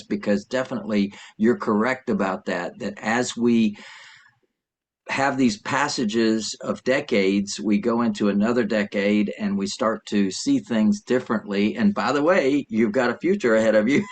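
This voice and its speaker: An older man hosting an interview podcast, upbeat and enthusiastic as he welcomes and questions his guest. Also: fairly low pitch. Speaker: podcast host.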